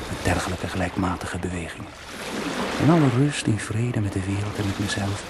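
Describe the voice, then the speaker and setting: A man's voice: on the low side, close to an everyday voice. An actor playing a stubborn swimmer alone at sea in a radio play, philosophising and mocking himself as he reasons his way onward. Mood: determinedly calm. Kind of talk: radio play inner monologue. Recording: very noisy old radio play recording.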